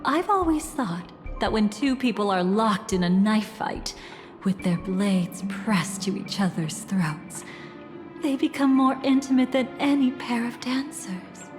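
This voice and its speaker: A woman's voice: fairly high pitch, animated. An actress playing an elegant, mysterious woman in a video game, capricious and playfully menacing, with a teasing, theatrical delivery. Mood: sly, intimate. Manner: theatrical, teasing, silky and deliberate. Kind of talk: video game character dialogue. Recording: long reverb, music behind.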